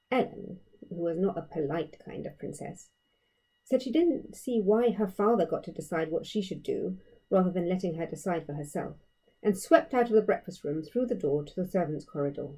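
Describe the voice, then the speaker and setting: A woman reading a children's story aloud in a measured, expressive storytelling way, leaning on key phrases. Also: medium pitch, low-quality recording. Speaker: author reading own story. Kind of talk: expressive children's audiobook narration.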